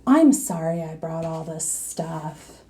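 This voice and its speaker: A woman's voice: sits low, expressive, takes it slowly, mellow. A woman voicing a sulky, stubborn young boy in a storybook, with a petulant, grumbling manner. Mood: sheepish.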